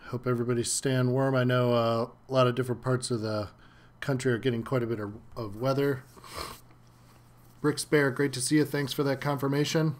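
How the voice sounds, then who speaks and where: A man's voice: mid-range voice, fairly even intonation, brisk. A man hosting a casual live stream from home, slightly stuffy from a cold, chatting loosely with many fillers and greeting viewers by name.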